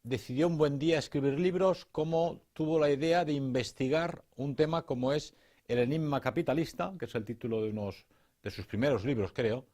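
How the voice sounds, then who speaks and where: A man's voice: mid-range voice, a little fast, mellow. A man conducting a formal interview, introducing his guest and asking questions with frequent hesitant fillers.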